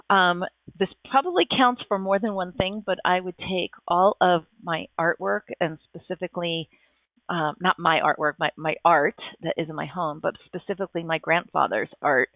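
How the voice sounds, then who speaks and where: Enthusiastic female guest, gravelly tone, tinny phone-line audio, dry sound. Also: fairly low pitch, no room sound. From United States. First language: Japanese. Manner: enthusiastic, thoughtful, frequent restarts. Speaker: podcast guest. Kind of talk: casual interview answers.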